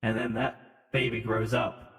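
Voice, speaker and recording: A man's voice: on the low side, flat delivery, unhurried, fairly bright tone. A man with a British accent leaning American, joking and swearing in casual podcast banter through a voice modulator. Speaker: podcast co-host. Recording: echoey, long reverb on voice.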